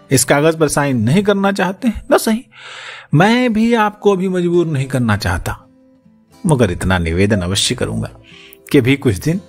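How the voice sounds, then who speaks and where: A man voicing a pushy, flattering, greedy rich businessman in an audiobook, insistent and wheedling. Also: mid-range voice, breathy edge. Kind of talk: audiobook character acting.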